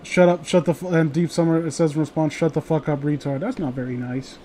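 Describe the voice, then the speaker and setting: Man, higher voice, rambling casual commentary, foul-mouthed and joking, faint noisy home recording. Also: steady tone, fairly quick, warm tone. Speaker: host of a horror story reading show. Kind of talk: casual chat and commentary on stories. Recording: noisy home recording, clear background hiss.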